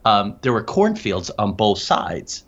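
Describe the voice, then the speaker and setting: Male guest on an interview show telling first-hand accounts, lowish voice, mildly dulled audio. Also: sing-song, takes it slowly, vocal fry, fairly bright tone, lo-fi sound. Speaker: interview guest, paranormal researcher. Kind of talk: recounting personal experiences in conversation.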